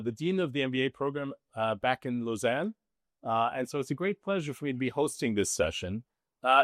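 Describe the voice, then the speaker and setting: A man hosting a live discussion session in a halting, semi-prepared way. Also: mid-range voice, fairly bright tone, no echo, studio quality. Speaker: host, business school dean.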